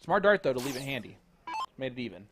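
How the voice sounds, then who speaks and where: A man's voice: medium pitch, fast. Chuckling male darts commentator, noisy line. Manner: relaxed, chuckling between calls. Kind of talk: play-by-play commentary. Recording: noticeable background noise.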